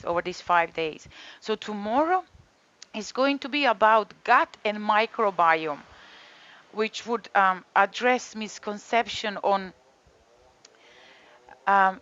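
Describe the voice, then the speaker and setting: A woman with a Croatian accent speaks at a middle pitch, earnest and steady as she introduces a yoga and detox course. She keeps returning to her key phrases and fills gaps with soft ums. The recording is slightly dull and lo-fi.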